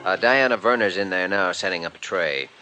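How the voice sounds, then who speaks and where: An actor playing an earnest young doctor in a 1950s radio drama, polite and a little hesitant. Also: male voice, low voice, a little fast, over loud music, lightly acted, rough recording.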